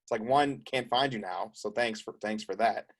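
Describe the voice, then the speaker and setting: A man hosting an interview in casual, eager chat, often restarting and repeating words as he talks. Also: on the low side. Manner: rapid, stumbling restarts, eager. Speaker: host.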